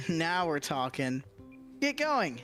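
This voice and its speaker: A woman's voice: low voice, very animated, slow pace, fairly bright tone, heavily acted. A woman voicing a brash, teasing sidekick character in a visual novel, over music.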